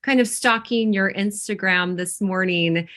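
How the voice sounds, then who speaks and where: A woman's voice: mid-range voice, unhurried, a bit bright. A woman hosting a podcast interview, upbeat and enthusiastic in casual conversation. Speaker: podcast host.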